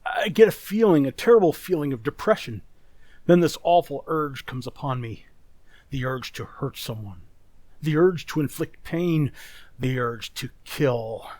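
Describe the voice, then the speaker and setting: A man acting a troubled, forgetful factory boss in an old-style radio drama, halting and uneasy. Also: medium pitch, animated, a little mellow, no room sound. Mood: tormented.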